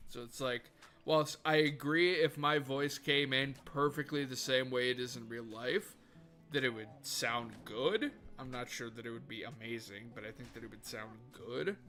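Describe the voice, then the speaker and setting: A man casually chatting to viewers as he plays a game on a livestream. His voice is bright and forceful, his talk loose and rambling, and he swears freely. Quiet music plays underneath. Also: mid-range voice, fairly even intonation, normal speed. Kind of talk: casual gaming livestream chat. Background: faint background music.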